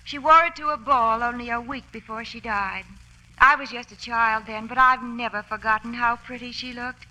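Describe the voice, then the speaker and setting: A woman's voice: fairly high pitch, steady tone, normal speed, bright, forceful tone, rough recording. A woman acting a genteel, formal young heiress in an old-time radio drama. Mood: gracious, composed. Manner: formal, proper. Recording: faint noise on old radio recording.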